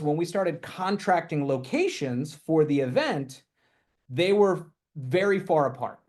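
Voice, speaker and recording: Male team leader, higher voice, energetic and emphatic, live video call. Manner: upbeat, emphatic, repeats key points. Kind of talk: motivational team call talk.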